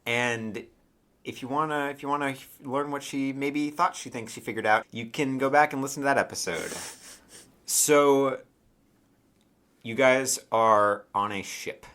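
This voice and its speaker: A man's voice: mid-range voice, a little slow, fairly bright tone. A man acting as game master, casually narrating a tabletop game and talking directly to his players in a hesitant, conversational way.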